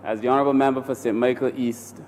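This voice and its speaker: A man's voice: mid-range voice, level tone, fast talker, darkish tone. A man with a Barbadian accent, a member of parliament, opening a formal, courteous speech in debate. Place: parliament chamber. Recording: long hall reverb with faint hiss.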